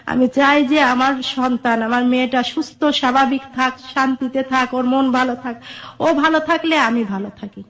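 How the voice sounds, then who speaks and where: Middle-aged woman, Bangladeshi accent, high voice. Earnest personal storyteller in interview, lo-fi sound. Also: steady tone, moderate pace, fairly bright tone. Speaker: guest telling her life story. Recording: dull, muffled lo-fi audio.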